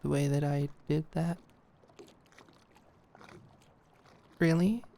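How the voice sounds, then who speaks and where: A young woman playing a smitten, protective girl at camp in an intimate ASMR roleplay, speaking earnestly and haltingly. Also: deep voice, fairly even intonation, vocal fry, mellow. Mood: shy, hopeful. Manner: halting, earnest, giggly, stammering. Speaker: audio roleplay performer.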